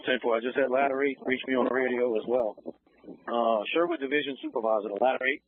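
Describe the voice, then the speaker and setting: A man's voice: medium pitch, big pitch swings, fast talker, vocal fry, a little hiss or hum. A man working as a fire command officer, giving informal instructions over two-way radio. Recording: phone-like fire scanner radio audio.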